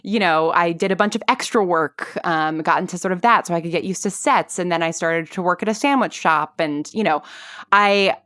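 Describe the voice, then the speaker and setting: A woman's voice: on the low side, normal speed, fairly bright tone, no echo. A woman in her thirties chatting casually as a podcast guest, rambling through stories with lots of filler words like "like" and "sort of". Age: 30s. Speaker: podcast guest, audio drama creator and actor. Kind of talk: casual conversational storytelling.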